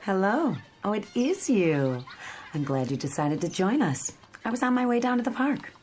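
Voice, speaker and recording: A woman's voice: medium pitch, very animated, moderate pace, a little mellow, faint music behind, natural voice. An actress playing a gracious, chatty small-town woman with old-fashioned politeness in a radio drama. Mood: gracious, inviting.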